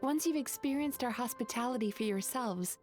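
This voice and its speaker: A woman's voice: a little high, normal speed, a little mellow, close to an everyday voice. A woman playing a polite, composed young spirit hostess and therapist in an anime game, reassuring and courteous. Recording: music playing behind the voice.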